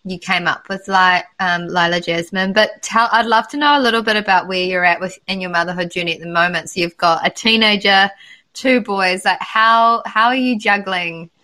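Bright chatty woman hosting a podcast, lots of ums, upbeat. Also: medium pitch, normal speed. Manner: upbeat and chatty, frequent fillers. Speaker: podcast host. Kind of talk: casual podcast chat.

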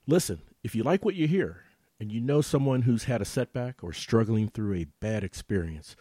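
A man hosts a podcast with an emphatic, rising delivery, leaning hard on key words as he opens the show. His low voice sounds close and dry, with no room behind it. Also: husky, no room sound. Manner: emphatic, warm-welcoming host delivery. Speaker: podcast host. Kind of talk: upbeat podcast hosting.

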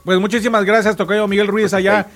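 A man's voice: high voice, level tone, a little fast, a bit bright, faint music behind. A man with a Mexican accent hosting a casual morning show chat. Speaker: radio show host.

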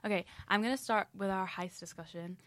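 A young woman from Scotland with a mellow Scottish accent, chatting casually and in a rambling, giggly way as a podcast co-host. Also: medium pitch, fairly even intonation, fairly quick, slight background noise. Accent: soft Scottish. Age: young adult. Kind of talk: casual chat.

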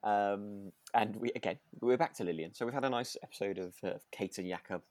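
A British man co-hosting a podcast in relaxed casual chat, haltingly repeating his phrases as he goes. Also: fairly low pitch, dry recording, studio quality. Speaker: podcast co-host.

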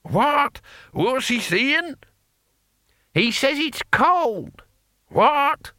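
A frail old warrior, hard of hearing and forever baffled for a comic fantasy audiobook. The voice is querulous, very high-pitched and piercingly bright. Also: male voice, sing-song, drawn-out words, no room sound, studio quality, full character voice. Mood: querulous, confused. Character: deaf, confused, frail old male barbarian.

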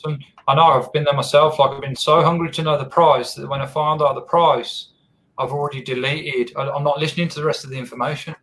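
A man's voice: on the high side, fast talker, poor audio. Easygoing male podcast host, casual and conversational. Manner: relaxed, repeats words while searching for phrasing. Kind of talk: casual interview chat.

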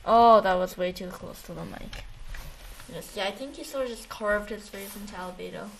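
A woman's voice: mid-range voice, moderate pace. Casual slangy woman, noticeably noisy recording. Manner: rambling, slangy, jokey retelling. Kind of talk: casual chat.

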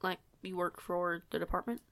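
Eager pack newcomer played by a woman: young, awkward female werewolf, lowish gravelly fry, halting informal chatter for audio drama. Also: monotone, a bit bright. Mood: hesitant, curious. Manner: hesitant, rambling, self-conscious, informal. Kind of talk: audio roleplay acting, casual dialogue.

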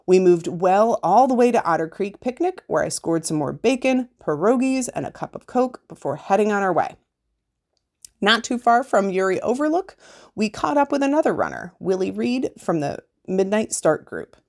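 A woman's voice: medium pitch, big pitch swings, normal speed, a little mellow. A woman with an American accent, a podcast host reading a written story aloud.

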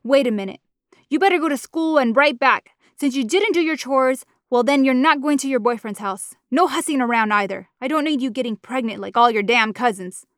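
A woman voicing a big, domineering mother who yells and curses at her daughter in a storybook reading. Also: a little high, normal speed, no echo, studio quality, natural voice. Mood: angry, scolding. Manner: yelling, cursing, scornful. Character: harsh foul-mouthed mother, big, furious, domineering.

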